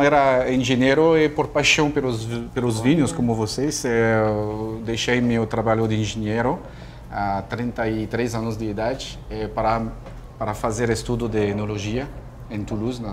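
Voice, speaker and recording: A man in his fifties from northern France, a native French speaker with a French accent, answering casually as a guest in halting phrases. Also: medium pitch, little pitch movement, a little hiss or hum. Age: 50s. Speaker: guest, winemaker.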